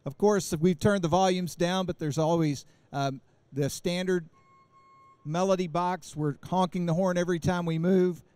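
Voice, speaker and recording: A man's voice: a little high, a little mellow, clear, resonant tone, no echo. A man in his forties giving a technical product presentation at a trade show, speaking into a handheld microphone, explaining as he goes with many fillers and restarts. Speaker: company presenter. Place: large indoor trade show booth.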